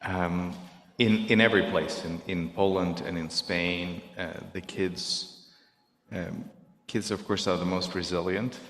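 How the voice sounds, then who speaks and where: A middle-aged man whose native language is Russian, speaking earnestly and haltingly to a congregation, searching for his words. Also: low voice, steady tone, fairly bright tone. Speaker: guest speaker at a service. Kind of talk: reflective talk to a congregation. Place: synagogue sanctuary. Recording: echoey hall, long reverb.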